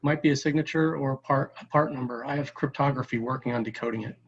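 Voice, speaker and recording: A man playing an earnest, analytical scientist in their sixties, explaining in a measured way at a table read. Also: medium pitch, breathy edge, lo-fi sound. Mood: earnest, intent.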